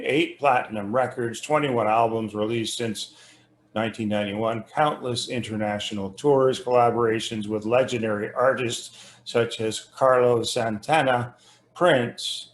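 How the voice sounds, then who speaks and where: A man hosting an interview over an internet call, reading an introduction in a halting, rambling way. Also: medium pitch, a little hiss or hum. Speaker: host interviewing a musician. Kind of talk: conversational interview, introduction read from notes. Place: remote internet video call. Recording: roomy, echoing internet call audio.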